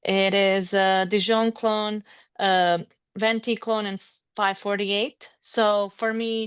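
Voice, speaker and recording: A woman with a Bosnian accent speaks as a winemaker guest on a video call. Her voice is smooth and clear at a middle pitch, and her English is friendly but a little halting. The sound is dry. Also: dry recording.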